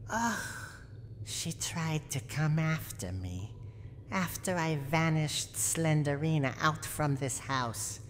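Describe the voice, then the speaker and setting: A woman playing a cranky, lonely, menacing old granny from a horror game in a slow, theatrical, haughty roleplay monologue. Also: deep voice, animated. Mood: defiant, scornful. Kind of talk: dramatic scripted roleplay monologue, ASMR audio drama. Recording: long hall reverb, audible background noise.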